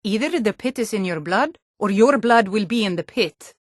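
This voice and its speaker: A woman's voice: medium pitch, expressive, moderate pace, dry recording, studio quality, close to an everyday voice. An actress voicing a hardy, no-nonsense Nord townswoman and guard in a fantasy video game, with a firm, plain-spoken delivery. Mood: stern, commanding. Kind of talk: scripted fantasy game dialogue.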